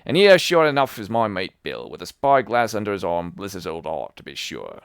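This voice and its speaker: A man's voice: on the low side, big pitch swings, a little fast, smooth, dry recording, studio quality. A man reading an audiobook, voicing a fawning, sneering old pirate with a maimed hand who coaxes and threatens by turns. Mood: coaxing. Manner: fawning yet sneering, coaxing. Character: wheedling one-handed old pirate man. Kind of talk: audiobook character voice.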